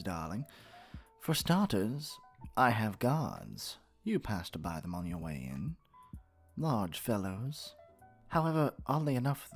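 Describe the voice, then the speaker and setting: A man voicing a haughty, domineering lamia noble in a fantasy roleplay, with a pompous, drawn-out, patronizing manner. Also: fairly low pitch, darkish tone, exaggerated voice. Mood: amused, menacing. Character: haughty male lamia noble, wealthy retired merchant, condescending and domineering. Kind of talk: scripted ASMR fantasy roleplay.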